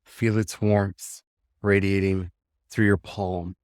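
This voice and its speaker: Slow, low-voiced man guiding a meditation. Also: flat delivery, clean tone, dry recording, clean studio sound. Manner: unhurried pauses between short phrases, gently instructing. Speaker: guided meditation leader. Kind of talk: guided meditation narration.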